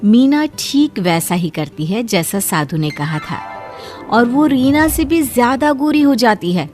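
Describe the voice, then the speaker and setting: A woman narrating a moral cartoon story in a steady, clear storytelling manner. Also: fairly high pitch, unhurried, soft background music.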